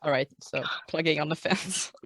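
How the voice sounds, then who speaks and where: A woman's voice: sits low, normal speed, fairly bright tone, dry recording. A woman improvising casually as a player in a tabletop game.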